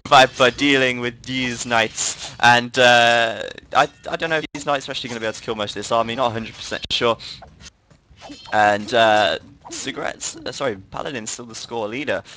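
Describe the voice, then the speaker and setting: A man's voice: mid-range voice, pushed and bright. A man giving chatty live play-by-play commentary on a strategy game stream, often correcting himself. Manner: chatty, self-correcting, laughs at own slips.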